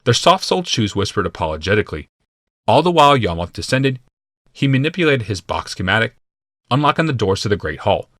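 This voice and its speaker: A man narrating a fantasy audiobook from a script in a measured, deliberate and dramatic manner. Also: fairly low pitch, big pitch swings, a bit bright, no echo, studio quality.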